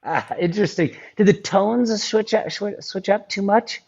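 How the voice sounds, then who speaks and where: A man's voice: high-pitched, normal speed, gravelly, darkish tone, slightly rough, lo-fi sound. A man as a podcast guest, chatting casually with many ums and restarts. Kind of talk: casual interview chat.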